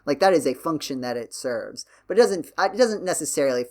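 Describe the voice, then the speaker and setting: A man hosting a casual podcast discussion, talking in a halting way and circling back as he thinks aloud. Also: on the high side, animated, a little mellow. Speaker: podcast host.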